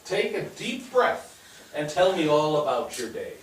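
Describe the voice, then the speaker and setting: An actor in a staged reading playing a patient 1860s husband with a measured, dryly teasing manner. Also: male voice, fairly high pitch, sing-song. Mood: wry. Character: dry, patient husband of 1860s society wife. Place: theatre stage, recorded from a distance. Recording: very echoey stage, distant mic, faint noise.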